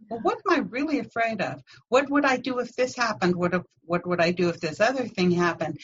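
A middle-aged woman, a guest on a podcast, chatting casually and telling lively anecdotes in which she acts out other people's voices. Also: medium pitch, lo-fi sound, a little hiss or hum. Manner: animated storyteller acting out voices in anecdotes. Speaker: podcast guest, confidence coach. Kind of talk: casual interview chat.

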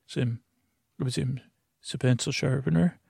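A man voicing a drowsy sleeping kid who mumbles halting, repetitive nonsense answers in an improvised mock interview. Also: medium pitch, vocal fry, warm tone, husky, dry recording, clean studio sound. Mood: drowsy, muddled.

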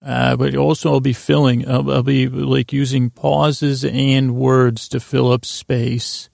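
A man hosting a bedtime podcast, rambling in a meandering, hesitant way with halting, silly, whimsical asides. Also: medium pitch, little pitch movement, gravelly, a little mellow, no room sound, clean studio sound. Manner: silly, whimsical, soothing intent. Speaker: podcast host.

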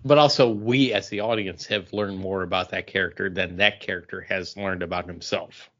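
A man co-hosting a podcast, chatting casually in an animated, rambling way. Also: deep voice, normal speed. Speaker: podcast co-host. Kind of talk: casual pop culture and beer chat. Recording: dull, lo-fi podcast audio.

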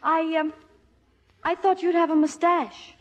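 An actress playing an awkward, dramatic teenage daughter in a 1950s radio comedy. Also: female voice, very high voice, level tone. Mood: nervous, coy. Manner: flustered, halting, melodramatic. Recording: noisy old radio broadcast, hall audience.

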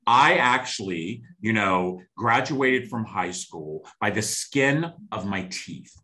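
Man, fifties, talk-show host, blunt, bright forceful voice. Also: on the low side, expressive, slow, rough recording. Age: 50s. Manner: blunt and emphatic, frequent "you know" and "okay". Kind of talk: podcast interview hosting.

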